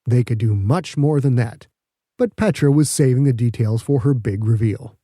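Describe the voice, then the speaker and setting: A man narrates an audiobook story in a mellow, relaxed voice at a middle pitch. The sound is dry, and he delivers the prose as scripted fiction. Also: normal speed, dry recording.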